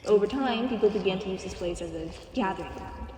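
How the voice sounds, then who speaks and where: A woman's voice: mid-range voice, quick pace, mellow, close to an everyday voice. A woman playing a playful, foul-mouthed undead guide in an audio roleplay. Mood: explanatory. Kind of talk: audio roleplay acting, conversational. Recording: echoey reverberant space with clear noise.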